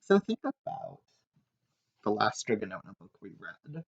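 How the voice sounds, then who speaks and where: Animated man reading aloud, roomy sound. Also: fairly low pitch, unhurried, slightly rough. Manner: animated, prompts listeners with questions. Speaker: reader of a children's picture book, teacher. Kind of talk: expressive read-aloud storytelling with asides. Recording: roomy, slightly dull sound.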